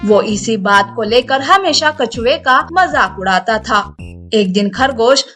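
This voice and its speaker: A woman narrates a children's moral story from a script. Her voice is very high and her pace is slow, with faint music behind her. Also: animated, sharp tone.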